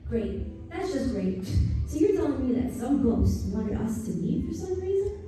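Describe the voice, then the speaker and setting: In a very echoey hall, far from the mic, a sardonic young heroine speaks as a woman plays her. Her voice is highish and very soft-edged and dark, dry and incredulous, in radio play style. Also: big pitch swings, measured pace. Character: sardonic, wary young female accident survivor. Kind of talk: live comic-book radio play acting. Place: live stage at a comic convention.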